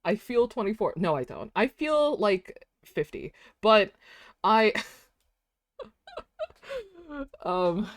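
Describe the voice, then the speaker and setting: A woman's voice: mid-range voice, very animated, slow, long pauses, dry recording, clean studio sound. A woman in her twenties chatting casually while drawing on a stream, rambling, laughing often and saying "dude" and "like". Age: 20s.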